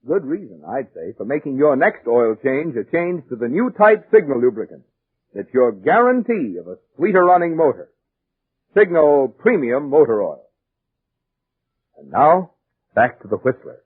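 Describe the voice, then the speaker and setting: A man announcing and pitching a product in an emphatic 1940s radio advertising style, on a vintage recording. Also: big pitch swings, normal speed, husky, no echo. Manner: emphatic, salesmanlike. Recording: vintage 1940s radio, narrow tinny band.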